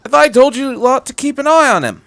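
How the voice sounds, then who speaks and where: A man acts out a brash time-travelling doctor from a story reading. The voice jumps up high, animated and incredulous, full of exclamations and questions. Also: fast talker, dry recording, heavily acted. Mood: annoyed.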